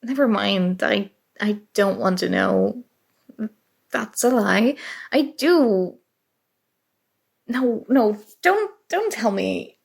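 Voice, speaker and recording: A woman's voice: mid-range voice, animated, slow pace, gravelly, pauses often, slightly rough, full character voice. A woman acting as a nerdy, flustered college student in an intimate ASMR roleplay, speaking hesitantly and emotionally with stammers. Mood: shocked, flustered. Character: nerdy, flustered young female college student confessing a crush.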